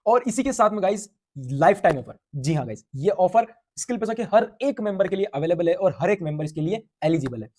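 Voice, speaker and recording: A man giving an energetic sales pitch for a referral income scheme, piling on hyped repetition. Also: brisk, hardly any pauses.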